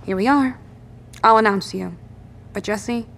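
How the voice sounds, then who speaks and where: An actress playing a helpful, matter-of-fact military lieutenant in an audio drama, speaking briskly and plainly. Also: female voice, medium pitch, creaky, fairly bright tone. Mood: businesslike. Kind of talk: naturalistic audio drama dialogue.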